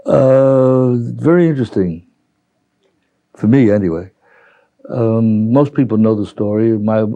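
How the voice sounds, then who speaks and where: Older Brooklyn man, halting and mellow. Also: lowish voice, dry recording, highs cut off. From New York, United States. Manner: halting, rambling recollection. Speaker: interviewee, retiree. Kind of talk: reminiscing in an oral history interview.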